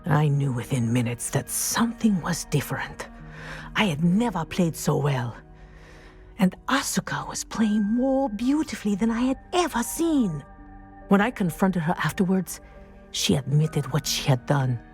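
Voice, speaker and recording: An actress playing a dignified, principled female professor in a game, speaking in a measured, precise, formal way. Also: fairly low pitch, big pitch swings, darkish tone, faint music behind. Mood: solemn regret. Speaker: professor and flying teacher. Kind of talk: scripted game dialogue, storytelling.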